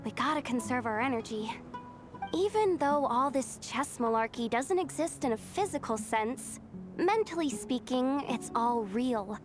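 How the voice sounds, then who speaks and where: High-pitched eager young employee girl. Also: animated, measured pace, over music. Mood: earnest. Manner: earnest, eager to please. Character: eager, earnest, devoted young female employee, cute and obliging. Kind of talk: video game voice acting.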